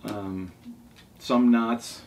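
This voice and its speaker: A man's voice: mid-range voice, fairly even intonation, drawn-out words, darkish tone. A man in his forties talking casually and enthusiastically in a rambling way, as a vlog host going through favourites at home. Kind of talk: casual talk reviewing favourite books. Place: small furnished room indoors at a table. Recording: distant mic, noisy room ambience.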